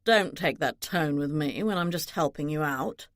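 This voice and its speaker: A woman voicing a scheming, hot-tempered man of high society in an audiobook, insistent and menacing. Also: moderate pace, dry recording, studio quality, natural voice. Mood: curt, irritated. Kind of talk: audiobook character dialogue.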